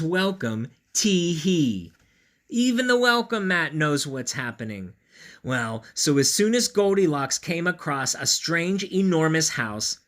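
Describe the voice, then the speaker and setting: A man reads a children's story in a very animated, unhurried way. He is playful and knowing, pausing for effect and dropping into chatty asides. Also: a little high. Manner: playful, knowing, dramatic pauses. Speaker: storyteller, school read-aloud host. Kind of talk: animated children's picture-book read-aloud with asides.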